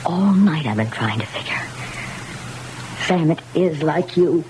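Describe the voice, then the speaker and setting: A woman playing a frantic, terrified wife in an old-time radio horror drama, with a halting, intense delivery. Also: low-pitched, animated, a little mellow, a little hiss or hum. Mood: hushed, dazed. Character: frantic, terrified wife haunted by an intruder only she sees.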